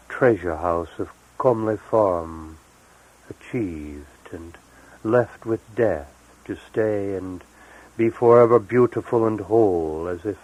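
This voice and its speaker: A man reading poetry as a poet, in deliberate, measured phrases broken by pauses. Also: lowish voice, darkish tone, rough recording. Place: live recorded reading, 1978.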